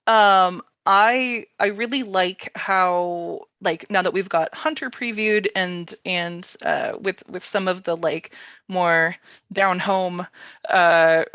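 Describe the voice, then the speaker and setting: A woman's voice: fairly low pitch, slow, fairly bright tone, no echo, dull audio. A woman speaking off the cuff as the host of a casual panel, in an informal, joking and rambling way. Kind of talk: casual, unscripted panel chat.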